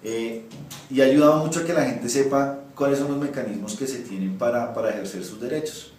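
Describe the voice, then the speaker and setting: A man's voice: mid-range voice, brisk, darkish tone, a little hiss or hum. A man in his thirties, a municipal official, answering interview questions with many restarts and fillers in an office. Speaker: municipal ombudsman. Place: office with window blinds. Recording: echoey office from far off.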